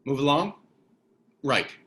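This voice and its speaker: Man as Mo, a wry mid-pitched rugged volunteer. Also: very animated, slow pace, fairly bright tone. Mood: casual, wry. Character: Mo, rugged thirty-ish man, cheeky laid-back civilian volunteer. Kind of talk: screenplay table read.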